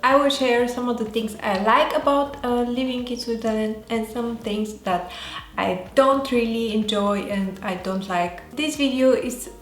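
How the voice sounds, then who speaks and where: A woman with a Bulgarian accent talking casually as a vlogger, in a halting way that often pauses mid-sentence. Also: fairly high pitch. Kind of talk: casual talk to camera. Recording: roomy echo, faint music behind.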